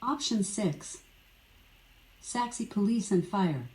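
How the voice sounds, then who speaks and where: Female synthetic assistant, mellow and mid-pitched, scripted prompts, heard from a smart speaker. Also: expressive. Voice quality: synthetic, evenly measured. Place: indoor room, from a speaker on the floor. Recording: smart speaker playing in a roomy space.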